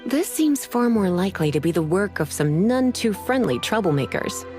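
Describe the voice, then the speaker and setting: A woman playing a poised, teasing, confident sorceress librarian in a video game, with a measured, articulate and coy way of talking. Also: medium pitch, sing-song, a little mellow, faint music behind, lightly acted. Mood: composed, analytical.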